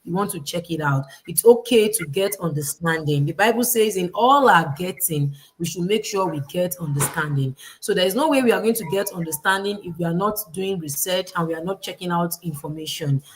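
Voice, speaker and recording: A woman's voice: on the low side, brisk, few pauses, rough recording. Nigerian woman hosting a motivational livestream. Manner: preachy, repeats key phrases, quotes scripture. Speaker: host. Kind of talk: livestream panel talk, motivational.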